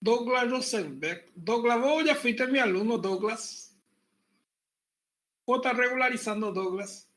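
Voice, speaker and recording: A man teaching an online university class, relaxed and chatty, repeating his points and joking with the students. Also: very high voice, measured pace. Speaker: university pathology lecturer. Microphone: computer mic on a video call.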